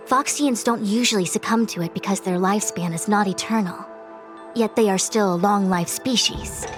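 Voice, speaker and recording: A woman playing a grave, composed diviner official in a video game, speaking in a measured, formal, authoritative way. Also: mid-range voice, lightly acted. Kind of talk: scripted video game cutscene acting.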